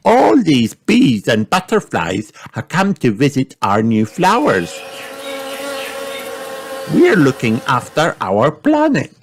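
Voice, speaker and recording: A man voicing an eager, bossy little girl pig, excited and exclaiming, in a children's storybook. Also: medium pitch, slow pace, a little hiss or hum, pitched above the performer's own voice, full character voice.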